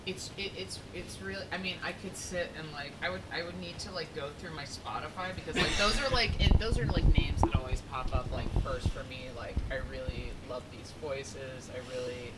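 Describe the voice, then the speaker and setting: A woman with a deep speaking voice, a singer and podcast guest, chatting casually with frequent laughs and a rambling, self-deprecating manner. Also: normal speed, hardly any pauses, very noisy.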